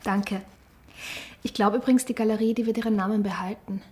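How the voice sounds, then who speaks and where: An actress playing a gentle, probing woman with a conversational delivery in a German radio drama. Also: medium pitch, fairly even intonation, fairly quick, close to an everyday voice.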